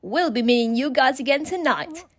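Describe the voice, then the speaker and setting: A woman voicing a theatrical, mocking host of a spooky cartoon contest, announcing in a showy, drawn-out way. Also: high-pitched, lively intonation. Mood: gloating. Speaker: game show host. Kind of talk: scripted animated show announcing.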